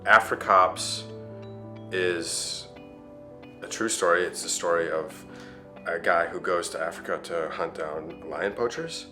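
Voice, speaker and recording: A man playing a self-important, dim actor, speaking pompously and haltingly in a comic mock interview. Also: sits low, sharp tone, soft background music, heavily acted. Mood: smug.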